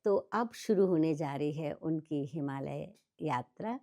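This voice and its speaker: A woman's voice: low-pitched, expressive, measured pace, warm tone. A woman with an Indian accent hosts an interview podcast conversationally, speaking to her guest and to listeners. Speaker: podcast host.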